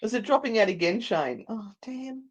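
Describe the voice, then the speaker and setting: A woman's voice: on the high side, animated, normal speed. An Australian woman co-hosting a live show in casual, easily sidetracked, giggly banter. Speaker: co-host of a paranormal live show.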